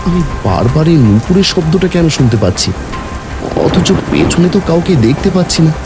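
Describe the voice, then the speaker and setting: A man's voice: a little high, lively intonation, normal speed, over music, pitched above the performer's own voice. A man voicing an anxious young village student in a horror cartoon, with fearful, pleading, emotional delivery. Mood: uneasy.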